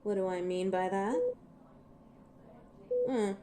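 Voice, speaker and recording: A woman's voice: medium pitch, takes it slowly, dark tone, close to an everyday voice. A woman voicing a cocky, ancient werewolf in an audio roleplay, teasing and menacing with a sardonic, impatient manner. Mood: wry, explaining. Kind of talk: scripted audio roleplay monologue to a listener.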